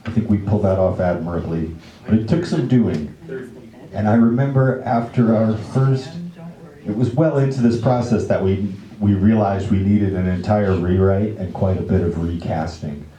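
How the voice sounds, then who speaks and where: Low, very mellow man hosting in an echoey room. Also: moderate pace. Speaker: event host introducing a staged reading. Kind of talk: casual live introduction to an audience. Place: indoor library event room.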